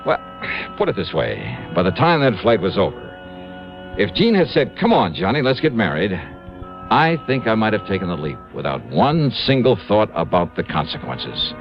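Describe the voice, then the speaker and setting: An actor playing a smitten, wisecracking insurance investigator in a 1950s radio drama, wry and apt to stammer when flustered. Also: male voice, fairly low pitch, huge pitch swings, moderate pace. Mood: smitten narrating. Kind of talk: 1950s radio detective drama, first-person narration and dialogue. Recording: muffled old radio recording, music behind.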